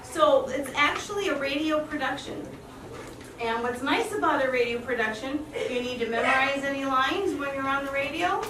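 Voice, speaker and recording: A woman's voice: sits high, normal speed. A woman giving an effusive live welcome speech to an audience, asking them questions as she introduces a community performance. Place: large room with a live audience. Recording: echoey room from far off, noisy.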